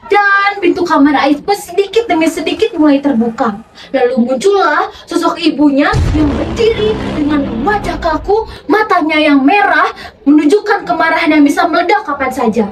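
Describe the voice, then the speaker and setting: A young woman telling a scripted story dramatically, with theatrical, deliberate phrasing. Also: very high-pitched, lively intonation, recorded from a distance, slight background noise. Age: young adult. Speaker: storyteller in a youth contest. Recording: echoey room from afar, background music.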